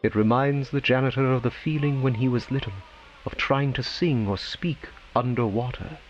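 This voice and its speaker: An actor playing a dramatic storyteller, narrating a whimsical radio play with theatrical, deliberate phrasing. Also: male voice, mid-range voice, muffled recording, a little hiss or hum, close to an everyday voice. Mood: solemn, wondering. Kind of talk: scripted dramatic narration, old-time radio.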